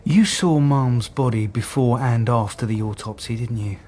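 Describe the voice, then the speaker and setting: An actor playing a hesitant, quietly doubting, understated male police detective in a radio drama. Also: mid-range voice, moderate pace, a little hiss or hum, natural voice. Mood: doubtful, guarded.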